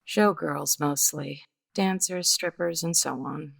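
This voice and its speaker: An actress as a jaded widow from an old radio detective play. Her voice sits very low and crackles with fry. She measures her words, guarded and bittersweet, hesitating as she goes. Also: a bit bright, full character voice. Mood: jaded, matter-of-fact. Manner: world-weary, hesitant, measured. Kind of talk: 1950s radio detective drama acting.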